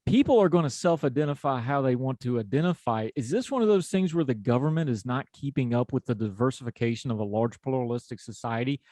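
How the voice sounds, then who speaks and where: A man's voice: mid-range voice, lively intonation, fast talker, hardly any pauses, dark tone, dry recording. A man from West Virginia with an Appalachian accent, talking in a folksy, conversational way as a podcast host. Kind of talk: conversational interview.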